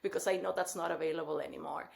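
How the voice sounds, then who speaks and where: A woman's voice: deep voice, racing, vocal fry. Mexican-accented woman in her forties. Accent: Mexican Spanish. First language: Spanish. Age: 40s. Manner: lively storytelling with frequent laughs. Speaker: guest. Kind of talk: podcast interview.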